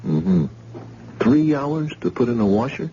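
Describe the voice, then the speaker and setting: An actor playing a pushy police detective sergeant who questions a witness insistently, in old-time radio drama style. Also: male voice, mid-range voice, big pitch swings, measured pace, mellow, a little hiss or hum. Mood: probing. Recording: dull lo-fi old radio recording.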